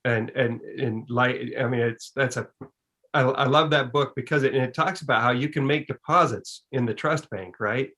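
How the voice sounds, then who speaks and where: A man's voice: mid-range voice, normal speed, sharp tone. A man speaking as a guest in an online presentation and Q and A, explaining in an emphatic, folksy way. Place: online video call.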